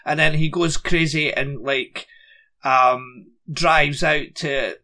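Brief: podcast co-host, 40s, male, rambly and dry, higher voice with bright tone. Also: fairly even intonation, slow pace. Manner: rambling, dry, laughs often. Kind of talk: casual chat.

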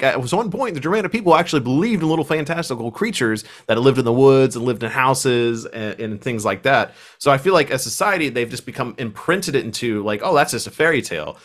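A man chatting casually as a podcast host, explaining things in an informal, conversational way. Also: medium pitch. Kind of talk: casual chat.

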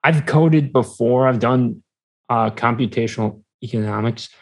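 A man in his twenties chatting casually as a podcast guest, speaking in halting, hesitant, broken phrases. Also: mid-range voice. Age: 20s. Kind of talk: casual interview chat.

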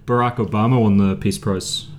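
Low-voiced wry male podcast host. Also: steady tone, brisk, a little mellow, slight background noise. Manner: wry, teasing, interjecting. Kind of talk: casual bantering podcast chat.

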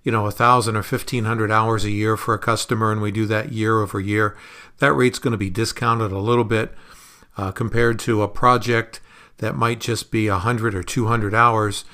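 A man talking conversationally on a podcast as a consultant being interviewed, explaining things in a measured way. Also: lowish voice, steady tone, pushed and bright.

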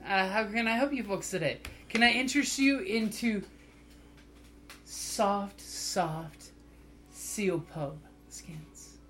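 A woman's voice: medium pitch, animated, slow, long pauses, fairly bright tone. A woman voicing a smitten, fawning Icelandic male leather worker in a tabletop game, gushing and suggestive. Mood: salesman patter. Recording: music behind the voice.

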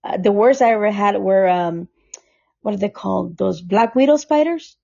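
A woman's voice: medium pitch, normal speed, gravelly, dark tone. Chatty woman storyteller on a dull lo-fi line. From Costa Rica. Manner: chatty storyteller who laughs often and acts out what others said. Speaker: podcast host. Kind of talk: casual chat over a recording app. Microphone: remote recording app.

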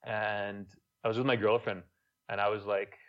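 A man's voice: lowish voice, little pitch movement, moderate pace, muffled recording. Laid-back Montreal man, French accent. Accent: Quebec French. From Montreal, Canada. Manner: laid-back storytelling, swears casually. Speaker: guest, YouTuber. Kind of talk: casual podcast chat.

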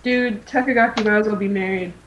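A woman talks in a casual, conversational way as a fan podcast panelist. Her pitch is average for a woman. Clear noise and clicks run behind her voice. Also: steady tone, brisk, highs cut off. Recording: noisy voice call with clicks.

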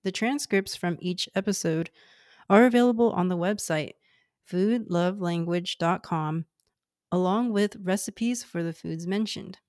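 A woman in her thirties from Houston, Texas, whose first language is Cantonese, introducing her podcast in a measured, earnest scripted reading. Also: fairly low pitch, darkish tone, dry recording, clean studio sound. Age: 30s. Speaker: podcast host.

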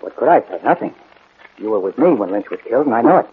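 An actor playing a defensive young American fiancé under suspicion, clipped and testy, in a 1940s radio drama. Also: male voice, lowish voice, big pitch swings, fast, breathy edge, heavily acted. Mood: wary. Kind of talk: 1940s radio detective acting. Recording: muffled noisy 1940s radio broadcast.

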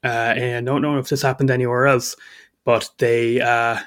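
A man from Ireland co-hosting a casual podcast, a bit sniffly with a cold, self-mocking and quick to laugh. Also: mid-range voice, level tone, a bit bright. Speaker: podcast co-host.

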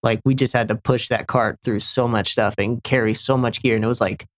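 A man's voice: medium pitch, fairly even intonation, a little fast, no echo. Young guy from senegal rambling on a podcast, lots of likes and restarts, dry close sound. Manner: rambling, many restarts and fillers. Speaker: camera assistant guest. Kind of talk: casual podcast conversation.

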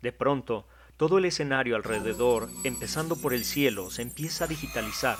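A man narrating an audio drama in a measured, descriptive way. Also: medium pitch, lively intonation, slight background noise.

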